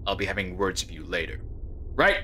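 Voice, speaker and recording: A man as a sharp, stern lieutenant. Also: sits low, lively intonation, fairly quick, slight background noise, exaggerated voice. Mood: reproving. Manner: curt, authoritative. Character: stern, cold, by-the-book male army lieutenant. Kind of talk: amateur audio drama acting.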